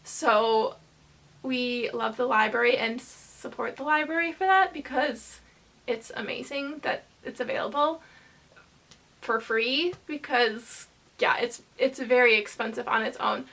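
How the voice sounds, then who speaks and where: A woman vlogger chatting casually to camera, rambling hesitantly with lots of fillers and pauses. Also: fairly high pitch, vocal fry, fairly bright tone.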